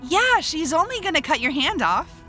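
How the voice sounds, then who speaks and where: A woman's voice: high voice, sing-song, moderate pace, bright, forceful tone, full character voice. A woman playing a mischievous young witch in an audio drama, sarcastic and teasing. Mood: mocking, gleeful. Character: mocking, mischievous young female witch who teases. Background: music.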